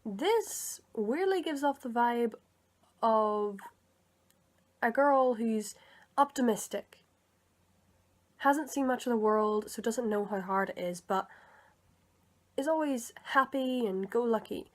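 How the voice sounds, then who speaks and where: High-voiced young woman, musing casually. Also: takes it slowly, pauses often. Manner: tentative, musing, thinking aloud. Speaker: vlogger sharing opinions on film characters. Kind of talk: casual unscripted commentary.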